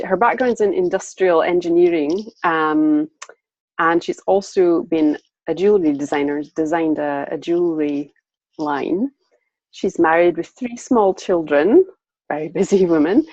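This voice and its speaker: A woman's voice: on the low side, a little mellow, no echo, highs cut off. A woman hosting an online interview, introducing her guest in an enthusiastic way. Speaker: host and interviewer.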